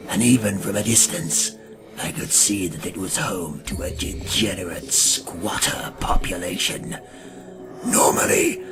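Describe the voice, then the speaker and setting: A man playing a grim, ancient vampire hunter who narrates a gothic audio drama in a solemn, ornate and gravelly manner. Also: fairly low pitch, full character voice. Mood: brooding, resolute. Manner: solemn, ornate, deliberate delivery. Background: dramatic music.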